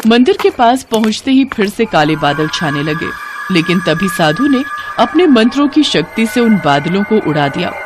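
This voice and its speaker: A woman's voice: mid-range voice, sing-song, normal speed, over music. A woman storyteller giving a dramatic scripted narration of a story.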